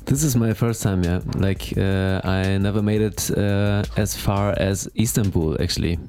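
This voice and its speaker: A man's voice: sits low, fairly even intonation, measured pace, a little mellow, slight background noise. A man with a German accent, a musician guest speaking English as a second language, searching for words in a friendly interview. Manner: hesitant, searching for words, good-humored. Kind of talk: casual interview.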